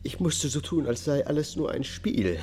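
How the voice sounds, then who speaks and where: An actor playing a haunted, detached murder defendant confessing in a radio drama. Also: male voice, a little high, expressive, normal speed, warm tone, slight background noise, natural voice. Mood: anguished. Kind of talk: German public radio drama acting, confessional testimony.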